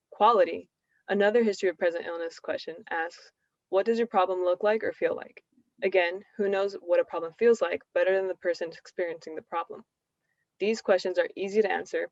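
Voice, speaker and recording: A woman's voice: on the low side, no echo. A woman on a research panel reading out a scripted, explanatory answer. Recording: dull, lo-fi, dry sound.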